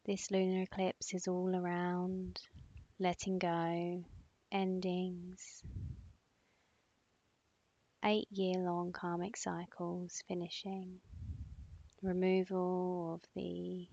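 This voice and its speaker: A woman leading a guided meditation in a soothing, instructing manner, with long pauses and breathy phrase endings. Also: fairly low pitch, monotone, dark tone, dry recording. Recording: slightly muffled, dull close dry recording.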